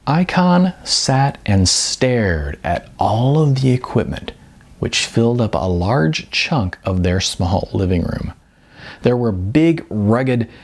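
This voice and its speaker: A man who is an author reading his own novel as audiobook narration, with expressive emphasis and drawn-out words. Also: mid-range voice.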